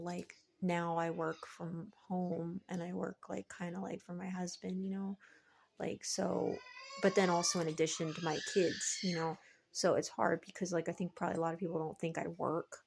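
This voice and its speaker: A tired woman with a cracking, slightly hoarse voice, chatting casually to viewers as a vlogger in a hesitant, meandering way, peppered with "like" and "you know". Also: fairly low pitch, a little mellow, slight background noise. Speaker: vlogger and online reseller. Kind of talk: casual rambling chat to viewers.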